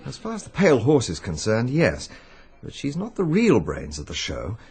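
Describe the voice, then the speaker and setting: Worried urgent english sleuth bloke, radio play, husky, swoops about a lot. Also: male voice, medium pitch, huge pitch swings, moderate pace, a little mellow, heavily acted. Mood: reasoning. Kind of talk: radio drama acting.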